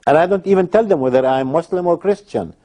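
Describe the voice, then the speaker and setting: A man's voice: a little high, animated, fast talker, warm tone. A man giving personal testimony in an emphatic manner. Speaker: interviewee telling his life story.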